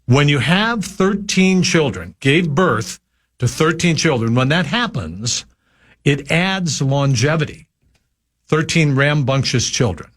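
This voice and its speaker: A man in his seventies from Minnesota, a regular guest chatting on a radio show, telling stories in a halting, folksy way with many pauses. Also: fairly high pitch, lively intonation, a bit bright. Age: 70s. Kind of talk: casual radio chat.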